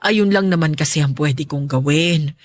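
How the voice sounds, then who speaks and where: A woman acting a caring, worried middle-aged mother in a Filipino radio drama, gentle and fretting. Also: deep voice, normal speed, natural voice. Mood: guilty, pleading.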